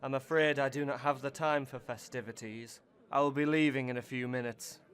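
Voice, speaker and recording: A man's voice: mid-range voice, fairly even intonation, brisk, a bit bright, natural voice. A man playing a cold, arrogant male military commander who speaks curtly and dismissively, in a fan radio drama. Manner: curt, dismissive.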